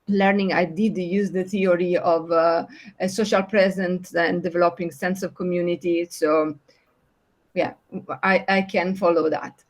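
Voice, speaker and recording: A woman from Italy with an Italian accent, presenting slides at an online project meeting, pausing often with filler sounds. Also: mid-range voice. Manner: hesitant, many filler sounds and restarts.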